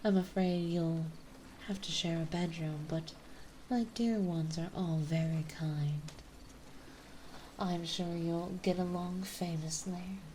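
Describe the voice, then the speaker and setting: A woman playing a gentle, dominant vampire mistress in an intimate ASMR roleplay, soft and breathy, often whispering, with a refined, coaxing manner. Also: on the low side, fairly even intonation, slight background noise, rough recording. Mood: warm, reassuring. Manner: slow, coaxing, refined and reassuring.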